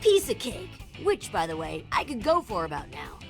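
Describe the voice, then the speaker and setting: A woman's voice: medium pitch, wildly swooping pitch, normal speed, vocal fry, faint music behind. A woman voicing a cocky, playful young boy hero in a cartoon game, with flippant delivery. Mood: pleased. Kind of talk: animated cartoon game acting.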